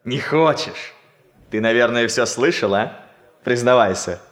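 Актёр играет весёлого, насмешливого влюблённого студента в радиокомедии шестидесятых. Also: male voice, medium pitch, measured pace. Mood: tender, playful. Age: young adult. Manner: playful, animated, teasing. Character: cheerful, teasing young Warsaw student in love. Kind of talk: 1960s stage-like radio comedy acting.

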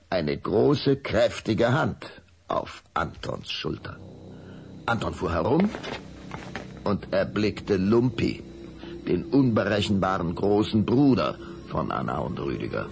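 A man narrates an audio drama from a script. His voice is deep and husky, with lively movement in pitch as he tells the story.

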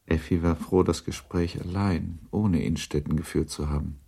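An actor as the sober narrator of a classic novel in a radio play, measured and detached, with a gravelly voice. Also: male voice, low voice, steady tone, warm tone, exaggerated voice. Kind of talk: literary scripted narration.

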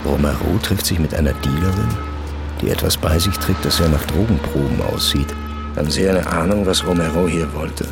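Really deep voiced police boss, terse and serious, radio crime play, music under it. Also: male voice, moderate pace. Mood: terse, sober. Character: terse, commanding male police chief of a drug squad. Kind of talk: German crime radio drama acting. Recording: music behind the voice.

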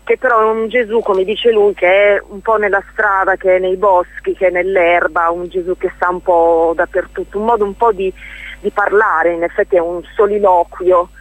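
A woman's voice: medium pitch, flat delivery, normal speed, no room sound, rough recording. A woman answers interview questions conversationally, often hesitating and rephrasing as she explains. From Romagna, Italy. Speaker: stage actress, interview guest.

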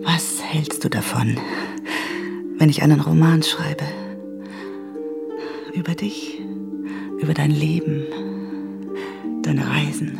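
A woman's voice: deep voice, steady tone, takes it slowly, gravelly, pauses often, heavily acted. An actress playing a teasing, self-assured woman writer and manipulative lover in a German radio play, intimate and coaxing. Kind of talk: German radio drama dialogue. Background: music.

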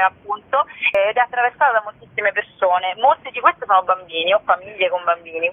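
A woman speaking spontaneously as a festival curator in an interview, sometimes searching for words mid-phrase, over a telephone. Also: mid-range voice. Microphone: telephone call. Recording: narrow, tinny telephone line.

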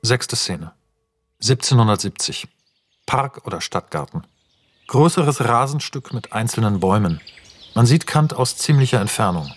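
A man's voice: medium pitch, expressive, normal speed, slightly rough. A man playing a sober narrator who reads screenplay stage directions in a radio play, factual and clipped. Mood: matter-of-fact.

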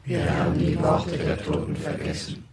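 Actor as mournful echoey praying citizen. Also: male voice, fairly high pitch, little pitch movement, a loud crowd, close to an everyday voice. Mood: mournful intoning. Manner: intoning, measured, incantatory. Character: mournful citizen intoning litany and prayer. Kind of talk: liturgical chant-like radio play delivery. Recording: echoey room, loud music behind.

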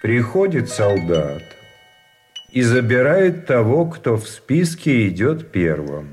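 Fatalistic imprisoned soldier, radio drama, medium pitch, unhurried pace, polite and deliberate. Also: male voice, big pitch swings, darkish tone. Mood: grave, resigned. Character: weary, fatalistic imprisoned private explaining grim rules. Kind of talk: Russian radio drama acting. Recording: music under the voice.

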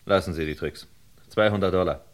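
A wary, determined male hero of a radio crime play, low in pitch. He sounds measured and insistent, pressing with questions and firm terms. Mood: firm, bargaining. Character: wary, determined man bargaining and probing an old murder. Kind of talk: German radio crime drama acting.

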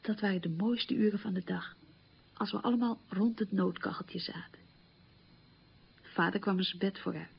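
An actress playing a reflective middle-aged woman recalling wartime childhood hunger in a halting, emphatic radio monologue, with a husky, creaky voice. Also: mid-range voice, fairly even intonation. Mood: wistful, emphatic. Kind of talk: radio play monologue, conversational reminiscence. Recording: dull lo-fi radio recording.

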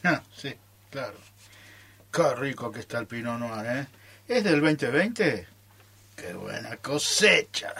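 A man's voice: mid-range voice, animated, slow, creaky, pauses often, pushed and bright, breathy edge, heavily acted. A man with an Argentine accent acting a stubborn, teasing keeper of a secret recipe in amateur radio theatre, with coy, theatrical delivery. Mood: playful.

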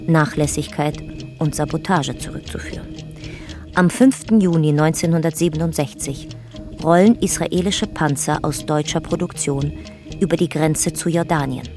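An actress as the narrator of a historical radio drama, reading a chronicle in a sober, measured documentary manner. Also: female voice, low voice, darkish tone, natural voice.

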